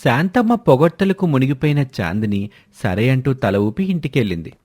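A man narrating a moral story in a measured storytelling manner. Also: medium pitch, very animated, darkish tone.

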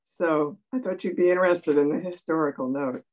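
A man's voice: a little high, a little fast, a little mellow, rough recording. A man in the audience sharing a historical note in a chatty way on a video call. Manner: storytelling, chatty. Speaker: audience member. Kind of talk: casual comment on video call.

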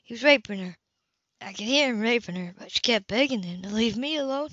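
A woman voicing a tough, wounded woman of twenty-eight in an audiobook, quietly and matter-of-factly recounting. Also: a little high, expressive, a little fast, no echo. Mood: quietly haunted. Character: tough, wounded 28-year-old woman, controlled and unflinching. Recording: slightly dull home recording.

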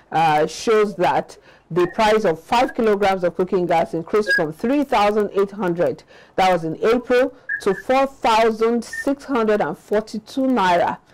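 Woman, Nigerian accent, young adult, news anchor, low pitch, studio. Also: a little mellow, low-quality recording. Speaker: news presenter.

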